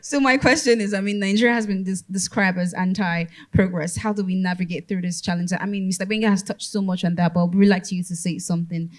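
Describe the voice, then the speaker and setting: A woman moderates a conference panel, putting questions to speakers and affirming them with short replies. Her pitch sits in an ordinary middle range. She speaks in a polite, encouraging way. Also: steady tone, brisk, few pauses. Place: conference stage.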